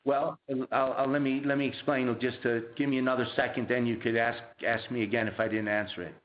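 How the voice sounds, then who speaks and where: A man answering questions as a company executive on a conference call, explaining in a halting way and rephrasing mid-sentence. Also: mid-range voice, little pitch movement. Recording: muffled, roomy conference phone line.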